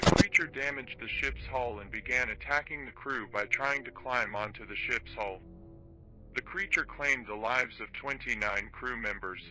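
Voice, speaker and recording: A man playing a solemn documentary narrator of monster attacks, reading a formal, measured horror script. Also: medium pitch, natural voice, rough recording. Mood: grave. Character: grave male documentary narrator reporting giant monster attacks. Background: background music.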